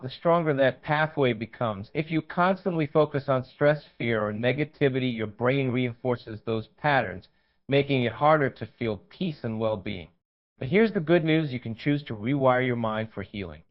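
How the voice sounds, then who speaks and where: A man reading a scripted guided meditation in a measured, reassuring, instructional manner. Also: mid-range voice, muffled recording.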